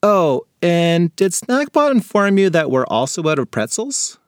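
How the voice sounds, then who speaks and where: A man's voice: sits high, sing-song, a little slow, clear, resonant tone, no room sound, clean studio sound, voice pushed up. A man doing a cheeky TV-loving boy.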